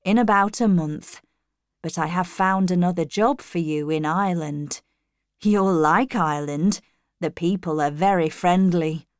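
A woman voicing an ardent, commanding gentleman in love, earnest and intense, in a storybook reading. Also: lowish voice, unhurried, clean tone, dry recording, studio quality, close to an everyday voice.

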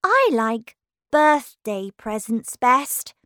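A woman's voice: fairly high pitch, very animated, extremely slow, a bit bright, no echo, clean studio sound, voice pushed up, heavily acted. A woman voicing a polite, curious young girl in a storybook, with an earnest, questioning manner.

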